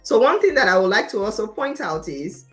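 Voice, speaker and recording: A woman's voice: medium pitch, big pitch swings, fairly quick, soft background music. A Nigerian woman with a confident, emphatic, passionate way of talking, telling her own story as an interview guest. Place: remote video call.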